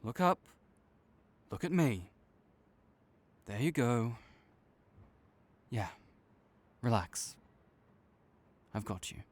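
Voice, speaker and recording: Man voicing a male supervillain rival, audio roleplay, mid-pitched, unhurried, halting, exasperated, reluctantly tender. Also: very animated, full character voice. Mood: reassuring, teasing. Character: gruff tsundere male supervillain, grudgingly caring rival. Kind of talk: intimate audio roleplay addressed to the listener.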